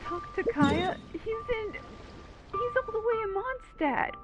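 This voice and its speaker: High-voiced, sarcastic woman game streamer. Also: animated, takes it slowly. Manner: sarcastic, quippy. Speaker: game streamer commenting on play. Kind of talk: casual joking commentary. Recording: dull mic with game music behind.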